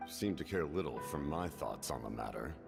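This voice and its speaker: A cold, imperious game warrior with an extremely low, gravelly voice. He speaks formally and deliberately, yet his pitch moves dramatically. Music plays behind him in a long, echoing reverb. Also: lively intonation, exaggerated voice. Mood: stern, probing. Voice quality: deep, gravelly. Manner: measured, formal, cold and guarded. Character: brooding, imperious deep-voiced male warrior of the Fatui. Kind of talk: dramatic video game voice acting.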